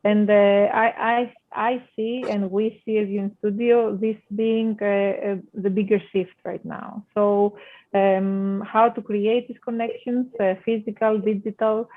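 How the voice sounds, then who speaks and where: A woman's voice: medium pitch, level tone, unhurried. A woman from Greece, a designer joining an online panel over a video call, answering thoughtfully with frequent fillers. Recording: tinny phone-like audio over video call.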